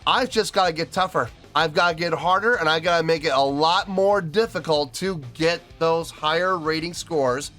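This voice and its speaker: Emphatic higher-pitched man hosting a review. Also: moderate pace, few pauses, bright, forceful tone, faint music behind. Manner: emphatic, repeats phrases for stress. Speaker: host of music reaction and review videos. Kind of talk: casual spoken review to camera, addressing viewers.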